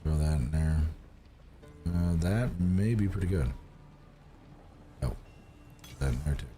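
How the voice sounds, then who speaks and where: A man's voice: low voice, animated, takes it slowly, dark tone, soft background music. A man streaming a game and chatting to viewers, rambling with lots of fillers and restarts while getting over a cold. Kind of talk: casual gaming livestream chat.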